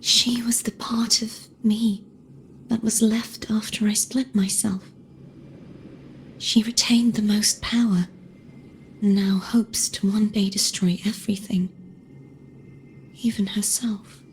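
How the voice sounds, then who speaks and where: A woman voicing a gentle, solemn young woman in a video game, speaking softly and breathily with measured, weary care. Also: medium pitch, fairly even intonation, slight background noise. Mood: solemn, sorrowful. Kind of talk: dramatic video game dialogue.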